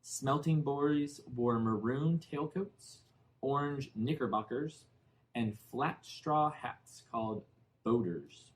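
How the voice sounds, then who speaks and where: Measured man narrating a storybook. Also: mid-range voice, rough recording. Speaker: audiobook narrator. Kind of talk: expressive scripted storybook narration.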